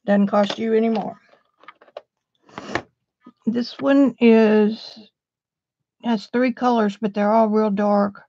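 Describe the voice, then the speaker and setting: Woman, sniffly, gravelly, mellow tone, muffled lo-fi stream audio. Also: a little high, steady tone, takes it slowly, pauses often, no echo. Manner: chatty, describing supplies to viewers. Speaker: art teacher vlogger. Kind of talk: casual live stream chat.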